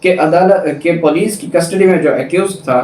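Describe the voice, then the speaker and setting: A man's voice: fairly high pitch, moderate pace, darkish tone. A man with a Pakistani accent giving an explanatory law lecture in a didactic way, repeating points for emphasis. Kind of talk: explanatory lecture, reading statutes and explaining them. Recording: roomy echo, distant mic, faint noise.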